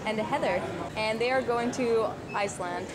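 A woman's voice: a little high, moderate pace, very noisy. A woman in her twenties filming a casual travel vlog, talking playfully into a handheld camera with wry asides. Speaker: travel vlogger. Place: varied: car, hotel rooms, outdoors in hot sun. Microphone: handheld camera at arm's length. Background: loud background music.

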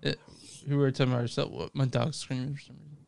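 A man's voice: mid-range voice, little pitch movement, fast talker, gravelly, darkish tone. A man co-hosting a movie podcast, laid-back and joking as he plugs the show. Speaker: podcast co-host. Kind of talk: casual podcast banter.

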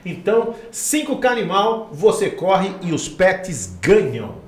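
A man's voice: sits high, big pitch swings, measured pace, reverberant. A middle-aged man, presenting conversationally as a podcast host. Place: small podcast studio. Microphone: close podcast microphone on boom arm.

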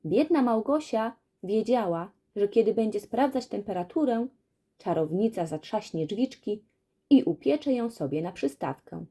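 A woman narrating a children's fairy tale as a storyteller, in an expressive scripted reading. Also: mid-range voice, measured pace, slightly rough.

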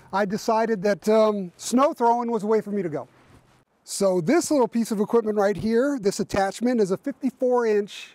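A man's voice: high-pitched, a little mellow, no echo. A man in his fifties reviewing his tractor outdoors by a barn in winter, explaining casually with lots of fillers and restarts. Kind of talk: casual equipment review to camera.

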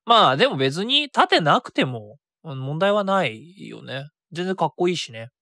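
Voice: mid-range voice, sing-song, normal speed, sharp tone, no echo, studio quality. A radio host chatting casually and solo about a hobby, thinking aloud and self-correcting along the way.

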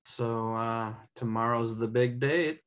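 A man's voice: mid-range voice, slow pace, fairly bright tone. A man playing a laid-back, supportive buddy in his thirties, casual and easygoing, at a comedy table read on a video call. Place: home room on a video call.

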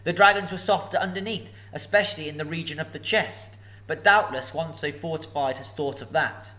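A man voicing a small, frightened hobbit who answers a dragon with nervous, eager flattery in a lifted voice. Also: normal speed. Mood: flattering. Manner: higher, eager and flattering, voice lifting.

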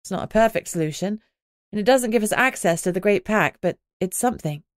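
A woman voicing a brisk, resolute female alpha werewolf in an audiobook, firm and instructing. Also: medium pitch, animated, no room sound, clean studio sound, lightly acted. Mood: commanding.

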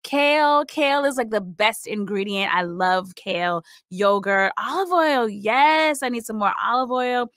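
A woman's voice: fairly high pitch, animated, unhurried, few pauses, fairly bright tone, no echo, clean studio sound. Female actor as insomniac American woman, restless racing thoughts, anxious repetitive muttering, short film voice-over. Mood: restless listing. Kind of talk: performed interior monologue for a short film.

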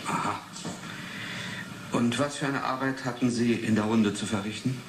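An actor playing a persistent official interrogator with a pointed, probing manner in a 1980s German radio drama. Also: male voice, medium pitch, steady tone, normal speed, lightly acted. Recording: echoey room with clear background noise.

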